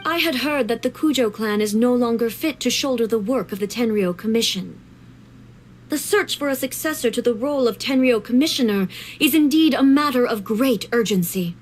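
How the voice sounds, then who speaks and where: A woman's voice: a little high, animated, normal speed. A woman voicing a cold, commanding female ruler deity in a game cutscene, formal and severe. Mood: stern.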